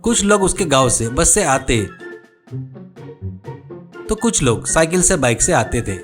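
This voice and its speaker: A man's voice: a little high, big pitch swings, a little slow, soft background music. A man narrating a scripted moral cartoon story as its storyteller.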